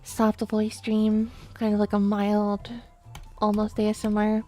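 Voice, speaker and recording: A woman streaming a late-night chat, talking softly and quietly while sleepy, with many fillers and restarts. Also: medium pitch, monotone, a little slow, soft background music. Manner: soft, quiet, drowsy talk with fillers.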